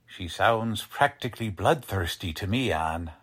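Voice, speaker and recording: A man's voice: lowish voice, expressive, unhurried, a bit bright, breathy edge, exaggerated voice. A man playing a cocky, folksy police lieutenant with slangy, ungrammatical talk in 1940s radio drama style. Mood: jovial, blustering. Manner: slangy, ungrammatical tough-guy speech.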